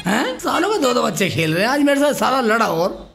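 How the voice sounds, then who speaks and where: Casting: male actor for simpleton son in broad comedy, gravelly, animated, overblown and naive; music underneath. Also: extremely high pitch, fast, exaggerated voice. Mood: naive, complaining. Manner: exaggerated loud wailing and naive questioning. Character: dim-witted, melodramatic married son, a folk simpleton. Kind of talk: broad comedy skit acting.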